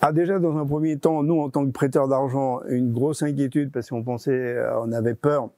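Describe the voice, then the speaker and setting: A man's voice: medium pitch, steady tone, normal speed, warm tone, dry recording. A man giving explanatory interview answers, hesitating and often repeating his words as he goes. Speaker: interviewee, regional deputy director of a nonprofit.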